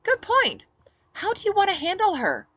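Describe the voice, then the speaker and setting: A woman's voice: extremely high pitch, huge pitch swings, normal speed, a bit bright, dry recording, lo-fi sound, pitched above the performer's own voice, heavily acted. A woman voicing a cool, matter-of-fact female fighter, agreeable and unfazed, in a story reading. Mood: eager, agreeable.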